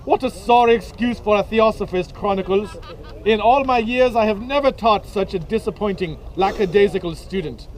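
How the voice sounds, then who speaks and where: A man's voice: sits high, normal speed, lightly acted. A man voicing a stern, haughty female headmistress in a comic dramatic reading, with a pompous manner.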